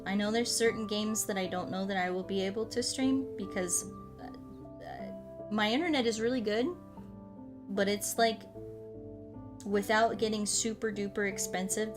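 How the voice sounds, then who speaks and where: Playful, unhurried woman streamer chatting. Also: medium pitch. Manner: playful, rambling, often repeats short phrases. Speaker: VTuber streamer chatting with viewers. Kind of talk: casual livestream chat over a game. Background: music.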